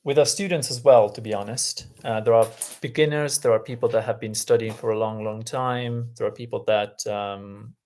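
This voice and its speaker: Man, Italian native speaker, language coach, unhurried live-stream lesson, frequent ums. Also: lowish voice, fairly even intonation, darkish tone. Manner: unhurried, many ums and restarts, friendly. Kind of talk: live-stream lesson, reading chat aloud.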